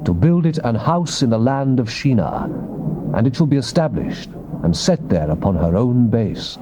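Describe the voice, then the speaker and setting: A man's voice: medium pitch, sing-song, moderate pace, lightly acted. A noisy old tape carries a British man playing a commanding angel of the Lord. The voice is grave and oracular, with wide, declamatory swings in a dramatized Bible reading. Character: solemn commanding angel messenger of God.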